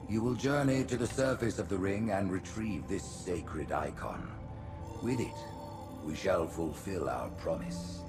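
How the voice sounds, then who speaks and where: A scheming alien high priest holds forth pompously in a cinematic game. The low voice swings widely in pitch, with music clearly behind it. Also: male voice, lively intonation, unhurried. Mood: solemn, grandiose. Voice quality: deep, creaky. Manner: pompous, theatrical, swooping emphasis. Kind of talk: cinematic science-fiction game acting.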